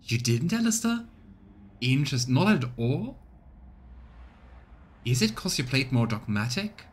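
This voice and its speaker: A man's voice: mid-range voice, very animated, measured pace. A man giving casual commentary and narration as he streams a voiced let's play.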